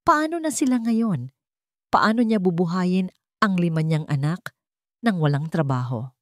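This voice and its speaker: A woman's voice: on the low side, expressive, measured pace, no room sound, clean studio sound. A woman reading scripted fiction as a narrator, in a solemn, emotive storytelling style.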